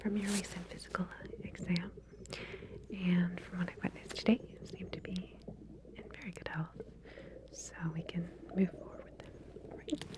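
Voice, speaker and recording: A woman whispering softly in an ASMR roleplay as a medical researcher, gently giving reassuring instructions. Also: lowish voice, fairly even intonation, normal speed. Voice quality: breathy, unvoiced whisper.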